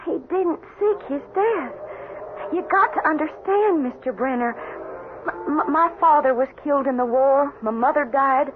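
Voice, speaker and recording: A woman playing a proud, sorrowful young frontier widow in a radio drama, speaking in an earnest, halting, emotional way. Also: high voice, lightly acted. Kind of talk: 1970s radio melodrama acting. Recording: tinny old radio broadcast, faint noise.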